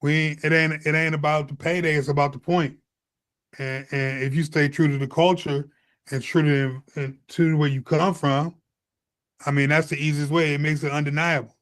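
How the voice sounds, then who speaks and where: A man from the United States with a slightly high voice speaks casually on a panel. He is earnest and slangy, often checking that listeners follow him. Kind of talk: casual conversational panel talk.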